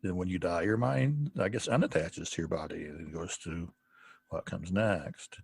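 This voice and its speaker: A man co-hosting a podcast, talking through theology in a casual, halting way with many restarts. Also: deep voice, vocal fry, darkish tone, slight background noise. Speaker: podcast co-host.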